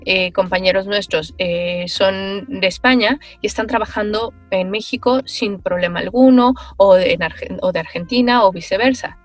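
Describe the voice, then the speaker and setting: A woman, a voice actress answering interview questions on a video call, thoughtful and animated, with many pauses and fillers. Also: medium pitch, dry recording. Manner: animated, gestures while talking. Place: small room with foam panels.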